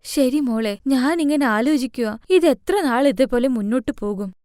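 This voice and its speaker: A woman plays an elderly mother-in-law in a cartoon story. She is loving and protective, with a worried manner and a high voice. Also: expressive, normal speed, darkish tone, no echo, studio quality. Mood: tender, worried.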